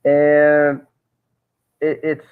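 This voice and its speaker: A man, a guest on a call, recounting events in a measured, halting way with frequent restarts. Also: a little high, completely flat delivery, clean tone. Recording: tinny phone-like call audio.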